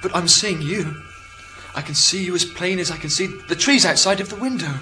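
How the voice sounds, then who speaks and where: Radio drama: stranded British astronaut, male actor, high for a man, lonely, agitated and incredulous, strong music bed. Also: normal speed, sharp tone, rough recording. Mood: anxious, disbelieving. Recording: prominent music behind the voice.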